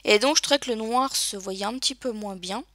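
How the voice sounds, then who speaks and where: A woman's voice: a little high, fairly quick, fairly bright tone, no room sound. A woman narrating a crafting tutorial over her video, speaking hesitantly with many filler sounds. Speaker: crafting channel host.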